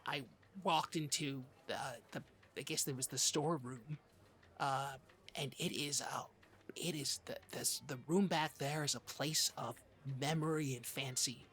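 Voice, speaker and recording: Bright-voiced, halting podcast co-host. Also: mid-range voice, steady tone. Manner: halting, rambling storytelling with mock-serious insistence. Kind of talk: rambling comedic podcast chat.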